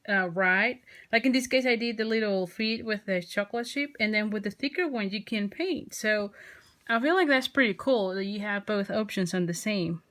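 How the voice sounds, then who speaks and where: A woman with a Mexican Spanish accent talks through a craft project in casual, enthusiastic chat. She fills her speech with ums and restarts, and her voice sits at a middling pitch. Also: a bit bright. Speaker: crafting video host.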